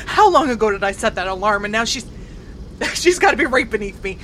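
A woman's voice: a little high, expressive, fairly quick, sharp tone. An actress playing an irritated, sarcastic woman who drives a rover alone and mutters and swears to herself, in naturalistic audio drama. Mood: elated, shouting. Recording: clear vehicle noise, rover cabin ambience.

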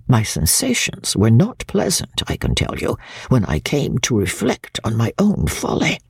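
Casting: woman reader as proud middle-aged Victorian mother, spiteful and emphatic, gravelly breathy voice, classic-novel audiobook. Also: extremely low pitch, darkish tone, dry recording, studio quality, exaggerated voice. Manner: haughty, emphatic, deliberate storytelling. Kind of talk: dramatic audiobook reading of classic literature.

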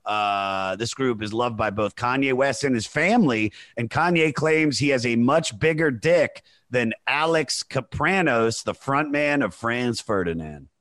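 A man's voice: mid-range voice, expressive, a little slow, few pauses, fairly bright tone, no room sound. A man hosting a podcast in casual chat, reading trivia and making crude jokes, saying "dude" a lot. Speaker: podcast host.

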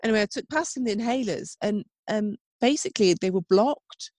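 A woman's voice: medium pitch, animated, a little fast, a little mellow, no room sound. Wheezy, coughing woman, dry sound. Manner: chatty storytelling with frequent "oh my God" and laughs. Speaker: podcast co-host. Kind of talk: casual chat.

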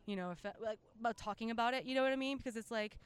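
Fast-talking, emphatic woman. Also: on the high side, clean tone. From Japan. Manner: emphatic, passionate, frequent "like" and "you know". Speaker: musician being interviewed. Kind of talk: casual, opinionated interview chat.

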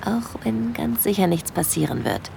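A woman playing a shy, smitten young holidaymaker in an intimate first-person audio drama, breathy, confiding and easily flustered. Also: mid-range voice, sing-song, moderate pace, mellow, slight background noise. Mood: dreamy, coy.

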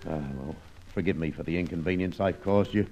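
An actor playing a proud, plain-spoken, worried old farmer with a rural English accent in an old radio serial. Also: male voice, low voice, brisk, mellow, lightly acted, poor audio. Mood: bitter.

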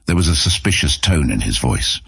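A man's voice: a bass voice, very animated, a little fast, gravelly, bright, forceful tone, breathy edge, no echo. A man narrating a scripted fantasy story as an audiobook narrator.

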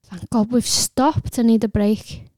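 A woman's voice: steady tone, measured pace, darkish tone, no echo, natural voice. A woman voicing a frightened, sarcastic young woman who protests in a raised voice. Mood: panicked. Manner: shrill, protesting.

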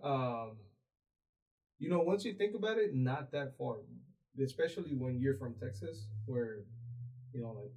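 Mellow-voiced man raised in Mexico. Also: medium pitch, lively intonation, a little slow, pauses often, poor audio. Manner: rambling, many fillers and restarts. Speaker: podcast guest. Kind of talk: casual interview chat.

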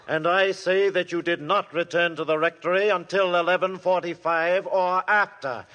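A relentless prosecutor. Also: male voice, high-pitched, fairly even intonation, bright, forceful tone, lo-fi sound. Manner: pressing, accusing, measured. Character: relentless male courtroom prosecutor. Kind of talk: 1950s radio drama acting. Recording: old radio broadcast with long reverb.

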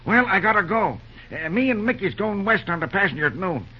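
A man acts a husky, ordinary railroad trainman in an old radio play. He talks bluntly in folksy, ungrammatical phrases with swooping, lively pitch. The recording is muffled and dated. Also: a little fast, heavily acted. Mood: worried, blunt. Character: ordinary, plain-spoken early 1900s railroad trainman, worried and blunt. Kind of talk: old-time radio drama acting.

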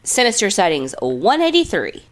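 A woman's voice: medium pitch, normal speed. Stuffed-up lady podcaster, cusses a lot, voice bounces all over. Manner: blunt, swears freely, lively storytelling. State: congested, stuffy nose. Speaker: podcast co-host. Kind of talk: casual chat and reading listener stories.

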